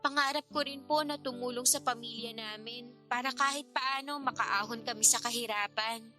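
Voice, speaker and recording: An actress playing a humble, grateful young niece with a Filipino accent, polite and deferential, in a radio drama. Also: female voice, fairly high pitch, fairly even intonation, normal speed, pushed and bright. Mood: earnest, hopeful. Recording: prominent music bed behind the voice.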